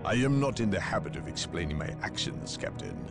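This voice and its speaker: A cold, guarded inquisitor over swelling score. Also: male voice, low-pitched, huge pitch swings, vocal fry, loud music behind the voice, heavily acted. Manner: measured, guarded, coldly lecturing. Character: cold secretive male inquisitor, middle-aged, unyielding. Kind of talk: video game cutscene voice acting. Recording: voice over orchestral game score.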